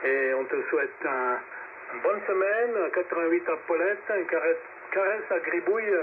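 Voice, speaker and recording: High-voiced man on crackly narrowband radio. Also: moderate pace. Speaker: radio net host. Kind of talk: casual radio net relay. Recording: noisy narrowband shortwave radio link.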